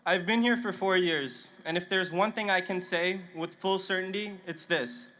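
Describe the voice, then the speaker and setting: A young man, a native Punjabi speaker, addresses a stadium crowd from a podium microphone. His voice is bright and sits a little high, and he talks with nervous, self-deprecating humor. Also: normal speed, poor audio. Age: young adult. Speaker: student commencement speaker. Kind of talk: commencement speech. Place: outdoor stadium ceremony.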